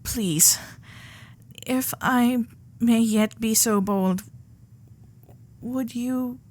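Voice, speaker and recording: A woman voicing a frank, flustered young Gilded Age society lady in a romantic ASMR audio drama, speaking earnestly in formal period phrasing with breathy asides. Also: on the high side, very slow, darkish tone. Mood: earnest, tender.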